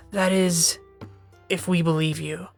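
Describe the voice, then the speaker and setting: Weary guarded party leader woman acting, slow low voice, fantasy audio drama. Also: flat delivery, soft background music. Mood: somber, doubtful. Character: reserved, weary female leader of adventurers.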